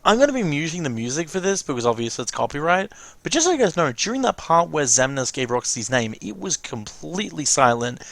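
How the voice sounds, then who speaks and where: A man's voice: on the high side, sing-song, fairly quick, gravelly, few pauses, a bit bright, no echo. A man delivers casual reaction commentary for a watch-along. He is chatty and fannish, talking informally as a commentator.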